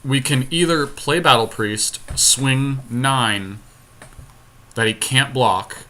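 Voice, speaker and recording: Bright-voiced man thinking through game moves. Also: medium pitch, slow pace. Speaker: podcast co-host playing an online card game. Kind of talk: casual gameplay commentary, thinking aloud.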